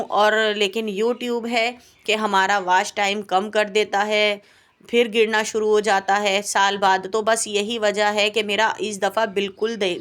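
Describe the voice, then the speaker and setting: Home baker woman: sore throat, coughing, plaintive and rambling in her voice-over. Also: mid-range voice. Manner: plaintive, complaining, rambling with hesitations. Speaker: home baker and cooking channel host. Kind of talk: voice-over vlog chat. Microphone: home voice-over mic.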